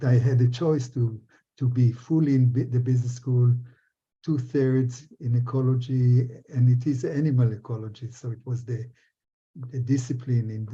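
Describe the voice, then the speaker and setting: An older man from Israel giving reflective interview answers in a halting way, often repeating words and restarting. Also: medium pitch, mellow, breathy edge. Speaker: interviewee, business professor. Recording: dull, muffled lo-fi sound.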